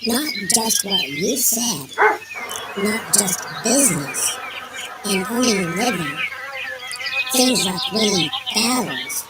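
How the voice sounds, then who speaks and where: Man voicing an earnest high-pitched boy, noisy birdsong. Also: sing-song, slow, pauses often, full character voice. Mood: earnest, wondering. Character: earnest, idealistic ten-year-old boy. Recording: noisy, loud birdsong over voice.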